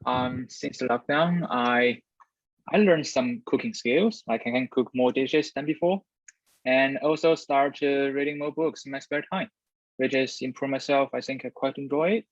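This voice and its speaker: A young male student from China, a guest speaking in a hesitant, halting way on a video call. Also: mid-range voice, steady tone, no room sound. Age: young adult. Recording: dry, dull video call audio.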